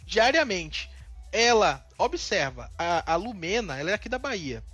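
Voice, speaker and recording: A man's voice: sits high, sing-song, normal speed, a bit bright, breathy edge, dry recording, faint music behind. A man from Northeast Brazil, co-hosting a casual commentary chat and airing strong opinions with frequent restarts. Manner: talkative, many restarts, indignant opinions. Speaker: co-host.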